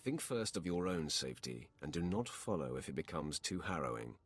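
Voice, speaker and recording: An actor playing a Sith warrior and his solemn light-side mirror self in a video game, speaking in a measured, formal, gravelly voice. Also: extremely low pitch, sing-song, dry recording, faint music behind, heavily acted. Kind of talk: video game dialogue acting.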